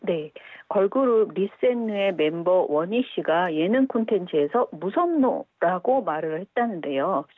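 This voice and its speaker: A woman media critic joining by phone, explaining her view calmly and point by point. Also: lowish voice, measured pace, few pauses, dry recording. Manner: calm, explanatory, argues point by point. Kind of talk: phone interview commentary. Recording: dry tinny phone line.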